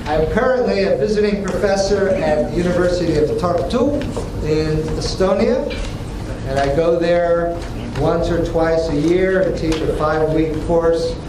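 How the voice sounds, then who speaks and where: An older man whose first language was Italian, giving a lively, joking university workshop lecture while walking around the room. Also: fairly high pitch, unhurried, background noise. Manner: animated, joking, walks around while speaking. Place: large lecture hall. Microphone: far camera. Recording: echoey hall from far off.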